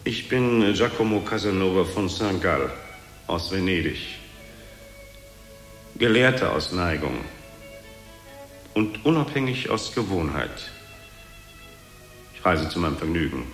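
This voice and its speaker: A haughty, ageing Venetian adventurer in a radio play. The voice is very low and pompous with weariness in it. The room rings around him and soft music plays behind. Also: male voice, animated, measured pace, pauses often, echoey room. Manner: pompous, self-important. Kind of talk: radio drama acting.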